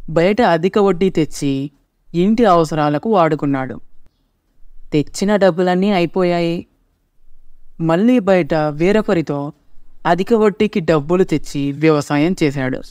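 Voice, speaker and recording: A man's voice: a little high, a little mellow, smooth, no room sound, studio quality. A man narrating a scripted cartoon story as its storyteller.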